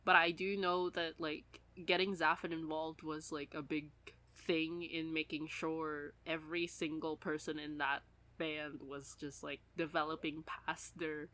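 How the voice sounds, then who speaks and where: A woman chatting casually as a podcast co-host, rambling and giggly in an animated way. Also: low-pitched, measured pace, low-quality recording. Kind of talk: casual chat about an audio drama.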